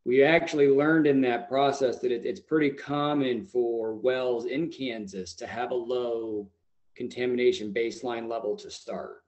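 A man in his thirties with a mid-pitched voice speaks on a video call. He explains technical points as a specialist would, informative and matter-of-fact. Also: fairly even intonation, normal speed, a little mellow, muffled recording. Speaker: agricultural extension specialist. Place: small plain room indoors. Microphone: computer mic on a video call.

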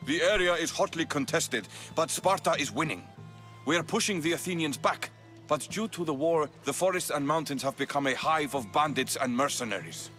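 An actor playing a stern, suspicious Spartan army officer in a video game cutscene, with a clipped, commanding manner. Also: male voice, a little high, normal speed, bright, forceful tone. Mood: grim, commanding. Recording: game dialogue over background music.

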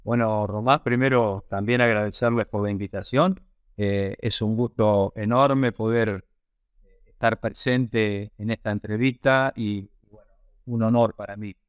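A man's voice: lowish voice, fairly even intonation, a little slow, clear, resonant tone, dry recording. An older man with an Argentine accent, explaining his work as an interview guest in a conversational way. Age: late 50s to 60s. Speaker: interview guest, pig production researcher and lecturer. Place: small indoor office on a video call. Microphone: wired earphone headset.

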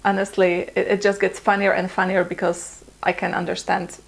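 A woman's voice: medium pitch, little pitch movement, moderate pace, gravelly, a little hiss or hum. A Polish woman in her twenties with a Polish accent, answering viewer questions in a casual vlog from her living room, chatty and laughing often, with plenty of ums and uhs. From Poland. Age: 20s. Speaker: vlogger.

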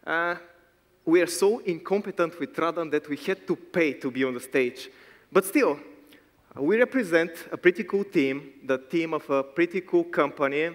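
A man's voice: a little high, normal speed. A man with a Bulgarian accent giving a joking, self-deprecating conference talk on stage with a handheld mic. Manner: wry, self-deprecating, teasing. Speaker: conference speaker. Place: large conference hall stage. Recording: long hall reverb.

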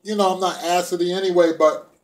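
A middle-aged man with a slightly high voice chats casually to a camera propped nearby in a room at home. He is laid-back and playful, speaking in loose everyday slang as a food vlogger. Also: flat delivery, fast, rough recording. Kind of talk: casual chat to camera while eating. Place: small room indoors at home. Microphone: phone or camera propped within arm's reach.

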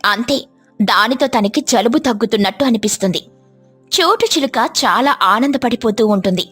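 A woman's voice: on the high side, expressive, a little fast, fairly bright tone, husky, soft background music. Woman narrating a children's story.

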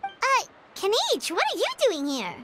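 A woman voicing a small, cute, childlike fairy companion in a video game, squeaky, chirpy, polite and inquisitive. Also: wildly swooping pitch, a little slow, background noise, exaggerated voice. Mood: curious, helpful.

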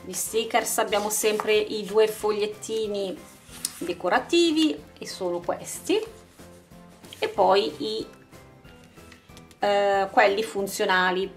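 Female craft vlog host, medium pitch, informal item-by-item unboxing narration, music underneath. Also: takes it slowly, pauses often. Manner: informal, showing items one by one. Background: background music.

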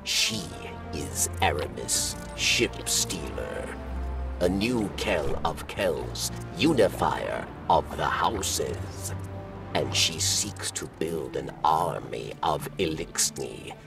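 An actor playing a weary alien scavenger exile in a video game, with a gravelly, hissing rasp and a halting, theatrical delivery. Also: male voice, very deep voice, full character voice. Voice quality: gravelly, rasping, breathy hisses. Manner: halting, theatrical, speaks of himself in third person. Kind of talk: video game character acting. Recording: game music behind, slightly distant.

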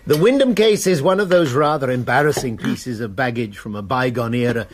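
An actor playing an urbane college master who explains matters calmly in a radio drama. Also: male voice, medium pitch, lightly acted. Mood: explaining. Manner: measured, explanatory. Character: Master of a Cambridge college, urbane older man.

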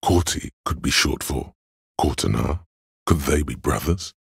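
An actor playing a cold, analytical inner voice of logic in a game, husky and gravelly, speaking in deliberate, clipped, instructive statements. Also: male voice, extremely low pitch, animated, no room sound, clean studio sound, heavily acted. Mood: probing. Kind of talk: dramatic second-person game narration.

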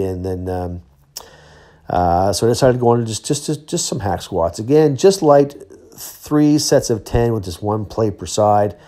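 A man's voice: medium pitch, darkish tone. A man of about fifty giving a casual, matter-of-fact voice-over as an athlete on a training vlog. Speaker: athlete vlogger, Highland games thrower.

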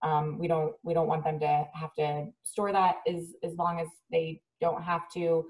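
A woman with a fairly low, very even voice explains plans in a measured, procedural manner. She is on a remote meeting call and sounds some way from the microphone. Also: recorded from a distance, low-quality recording. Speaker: waste agency staff member. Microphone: phone or video call.

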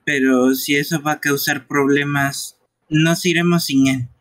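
A man dubbing game dialogue live in an amateur, playful, teasing way, with a husky voice. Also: fairly high pitch, steady tone, unhurried, a bit bright, dry recording.

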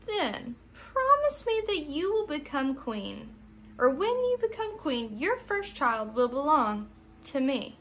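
A woman's voice: high voice, big pitch swings, slow, fairly bright tone, exaggerated voice. A woman voicing a demanding, gleeful little magical man in a storybook, with a taunting, bargaining manner. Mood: sly bargaining.

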